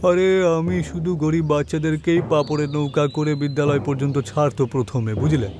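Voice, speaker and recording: A man's voice: on the high side, steady tone, moderate pace, noisy background, natural voice. A man playing a jealous, scornful, scheming village headman in a cartoon, speaking emphatically. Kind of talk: dubbed cartoon acting. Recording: voice over background music.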